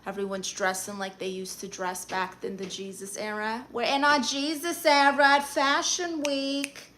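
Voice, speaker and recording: Sassy bright New woman streamer. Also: a little high, little pitch movement, slight background noise. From Brooklyn, New York. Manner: sassy, playful, quick comebacks. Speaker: livestreamer. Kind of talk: casual live-stream chat, reading out viewer comments.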